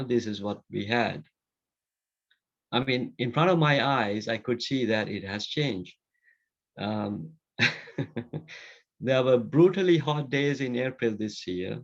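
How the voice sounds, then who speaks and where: A man's voice: mid-range voice, creaky. A middle-aged man from Sri Lanka answering student questions on a video call, talking conversationally and thinking aloud with restarts and fillers. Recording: dull lo-fi video call audio.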